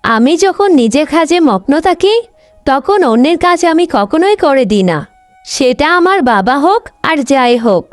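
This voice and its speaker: একজন মহিলার অভিনয়ে উঁচু গলার অহংকারী, ঝগড়াটে বড় বোন. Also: female voice, high voice, very animated, moderate pace, no room sound, faint music behind. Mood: irritated, sulky. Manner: scolding, complaining. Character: vain, selfish, sharp-tongued young elder sister. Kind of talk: Bengali cartoon story voice acting.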